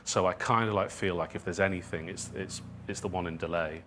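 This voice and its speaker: Man, very low voice, writer in informal Q&A, reflective, halting delivery, faint background noise. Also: fairly even intonation, fairly bright tone. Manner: reflective, halting, hedging with self-deprecating asides. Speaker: guest speaker, writer and dramatist. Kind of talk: informal audience Q&A at a talk.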